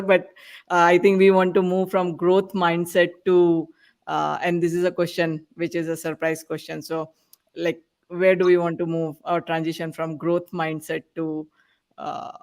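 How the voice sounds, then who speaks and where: A woman hosting a podcast panel in a casual way, often halting as she searches for words. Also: lowish voice, flat delivery, a little mellow. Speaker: podcast host.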